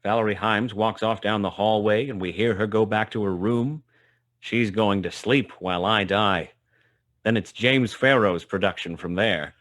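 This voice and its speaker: An actor playing a wry, laconic private detective who narrates a noir radio play. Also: male voice, on the low side, moderate pace, a bit bright, lightly acted. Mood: wry, tense narration. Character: hard-boiled male private detective narrating his own story.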